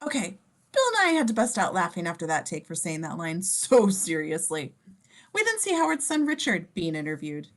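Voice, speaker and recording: A woman's voice: fairly low pitch, big pitch swings, moderate pace. A woman reading scene descriptions in a playful, slangy way, mixing scripted narration with casual joking asides.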